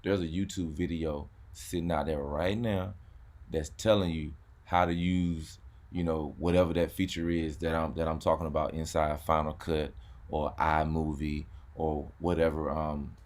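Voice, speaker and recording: A man's voice: extremely low pitch, little pitch movement, normal speed, darkish tone. A man hosting a car channel, chatting casually, repeating words and saying "man" often. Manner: laid-back, repeats words, says "man" a lot. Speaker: YouTube car channel host. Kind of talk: casual chat.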